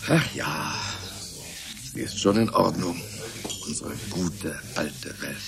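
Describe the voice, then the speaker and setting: A smug, chatty scientist of stubborn optimism in a radio drama. His voice is lowish and gravelly, with a lively melody. He muses and rambles over busy background noise of voices. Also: takes it slowly, full character voice. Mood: musing, dismissive. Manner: musing, rambling, clubby. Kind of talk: German radio drama acting.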